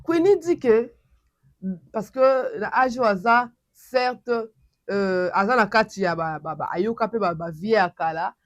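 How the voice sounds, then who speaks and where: A woman from Congo talks to her audience in a Congolese French accent, lively and full of restarts. Her voice sits at an ordinary middle pitch. The sound is dry and close, with no room around it. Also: a little slow, dry recording. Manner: animated, many restarts, mixes French and Lingala. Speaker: channel host and commentator. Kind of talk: casual commentary to camera.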